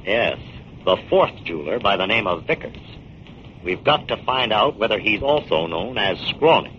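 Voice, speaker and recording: An actor playing a confident, shrewd amateur detective in a 1940s radio drama, with a wry and persuasive manner. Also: male voice, fairly low pitch, sing-song, moderate pace. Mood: explanatory. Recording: muffled, noisy 1940s radio recording.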